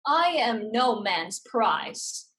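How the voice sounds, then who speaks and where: A woman acts out a fierce female pirate swordfighter in a stage drama. She is defiant and terse, with a fairly high voice and slow, deliberate delivery. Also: animated, fairly bright tone, exaggerated voice. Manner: tough, terse. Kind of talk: staged play reading.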